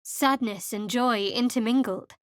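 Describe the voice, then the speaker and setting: A woman playing a guarded, wry, secretive young cleric adventurer in a fantasy video game, with a cool, measured, sardonic delivery. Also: a little high, a bit bright, no room sound, studio quality. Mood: measured, hushed.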